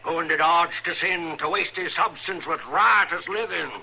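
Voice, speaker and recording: A righteous old American frontier patriarch, with a fairly high, very sharp, forceful voice. He preaches and threatens in archaic biblical phrases, as in a vintage radio western, over faint recording noise. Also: moderate pace, vocal fry, heavily acted, low-quality recording. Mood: defiant, preachy. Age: older. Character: mad, righteous old bearded frontier patriarch and self-appointed judge.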